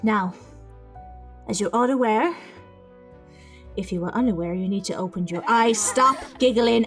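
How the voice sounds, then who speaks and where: A woman playing a stern, brisk senior nurse who scolds her young staff in a period audio drama. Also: mid-range voice, natural voice.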